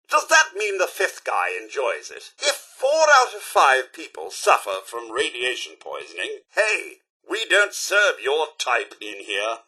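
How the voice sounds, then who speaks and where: An actor playing a prim, easily affronted British robot butler in a video game, speaking in formal, theatrical, wordy phrases with a raspy edge. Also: male voice, sits high, bright, forceful tone, heavily acted. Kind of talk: video game companion dialogue.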